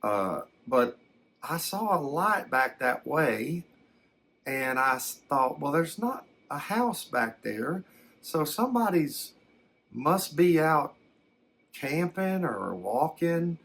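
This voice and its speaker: A man voicing a plainspoken, folksy fellow recounting a strange encounter in halting, rambling, unhurried ASMR storytelling. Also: a little high, very animated, vocal fry, fairly bright tone, exaggerated voice. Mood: musing, matter-of-fact. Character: folksy man recounting his alien abduction.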